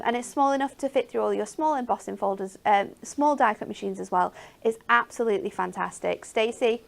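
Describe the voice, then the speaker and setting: Lady doing shopping tv, gravelly voice, lots of ums, gushing about products. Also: medium pitch. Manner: enthusiastic, many ums and restarts. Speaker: shopping channel presenter. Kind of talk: live shopping channel presenting.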